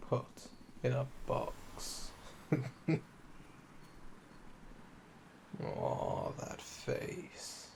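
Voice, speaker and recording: A man playing a calm, flirtatious, obsessive assassin under interrogation in an ASMR audio drama, teasing and deliberate, with breathy murmurs. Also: mid-range voice, slight background noise, exaggerated voice. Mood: flirtatious, delighted.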